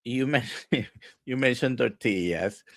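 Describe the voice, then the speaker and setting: Slow-speaking man from Puerto Rico. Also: medium pitch, fairly bright tone, no echo, clean studio sound. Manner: slow, thoughtful, halting questions. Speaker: host physician. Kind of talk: conversational podcast interview.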